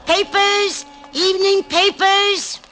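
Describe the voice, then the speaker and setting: A woman's voice: very high voice, fairly even intonation, slow pace. A woman acting a wily old American newspaper seller, folksy and sweet on top and tough underneath, in an old-time radio drama. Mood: hawking. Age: older. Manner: sing-song hawking, folksy sweetness turning tough. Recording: noisy old radio recording.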